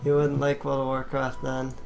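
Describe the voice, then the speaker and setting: A woman's voice: rumbling low, completely flat delivery, moderate pace, creaky, a little mellow. A woman hosting a gaming series, chatting casually as she comments on gameplay. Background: game music.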